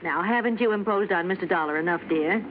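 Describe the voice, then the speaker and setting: A woman's voice: fairly low pitch, fast talker, natural voice. An actress playing a nervous, evasive American wife of a wealthy man in a 1950s radio drama. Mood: coolly polite. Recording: tinny, very noisy old radio recording.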